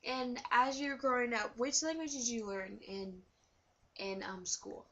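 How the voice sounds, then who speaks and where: A young woman student interviewing someone for a class, asking halting questions with many fillers. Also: on the high side, fairly bright tone, dull audio. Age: young adult.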